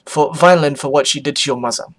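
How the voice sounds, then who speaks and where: A man's voice: on the high side, quick pace, a bit bright, natural voice. Man putting on a dramatic foreign-accented vampire pony lady. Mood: apologetic, earnest. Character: flamboyant vampire pony mother, female, theatrical fashion celebrity.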